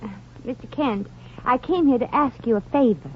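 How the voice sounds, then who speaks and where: A woman's voice: a little high, normal speed, close to an everyday voice. An actress playing a brassy, kindhearted young working woman in a 1940s radio comedy, wry, sassy and slangy. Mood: earnest. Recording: dull, noisy 1940s radio recording.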